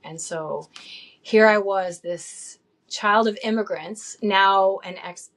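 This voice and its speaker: A woman's voice: medium pitch, takes it slowly, a bit bright. A woman in her late forties, a guest in a casual interview, telling her story with frequent laughs, ums and restarts. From upstate New York, raised in Saudi Arabia.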